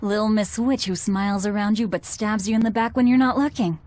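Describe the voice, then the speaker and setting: A woman playing a cold, guarded young fighter in an anime game dub, blunt and deadpan. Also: mid-range voice, brisk, close to an everyday voice. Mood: deadpan teasing.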